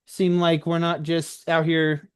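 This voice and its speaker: A man's voice: a little high, level tone, slow, clear, resonant tone, no echo, clean studio sound. A man in a casual conversational interview, talking earnestly as a host who shares his own experience and often checks for agreement.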